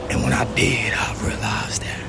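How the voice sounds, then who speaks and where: A man delivering a rambling, deadpan comic monologue, repeating himself in a slow, half-whispered way and boasting about his voice. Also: lowish voice. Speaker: comedic vlogger. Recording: loud traffic noise behind.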